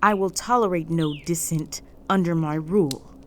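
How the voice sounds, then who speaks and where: A woman playing a stern, proud, possessive female orc chieftain in a roleplay audio drama, speaking in a formal, measured, authoritative way. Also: fairly low pitch, slight background noise, close to an everyday voice. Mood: commanding, stern.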